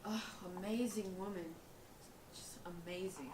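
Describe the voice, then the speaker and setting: A woman's voice: medium pitch, fairly even intonation, measured pace, darkish tone, lightly acted. A young woman playing a sarcastic, dramatic aspiring designer in a comedic play, with a theatrical, teasing delivery. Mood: wistful. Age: young adult. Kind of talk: comedic stage acting. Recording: echoey room, distant mic, background hiss.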